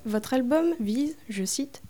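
A woman's voice: sits high, lively intonation, slow pace, a crowd in the background. A woman interviewer reads out long, prepared questions in a formal, careful manner.

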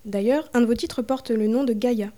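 A woman's voice: a little high, brisk, dark tone. A woman interviewer reads out long, prepared questions in a formal, careful manner.

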